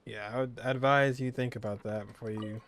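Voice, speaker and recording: A man's voice: medium pitch, steady tone, fairly quick. A man giving casual commentary as he plays through a video game story. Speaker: gamer playing through a story quest.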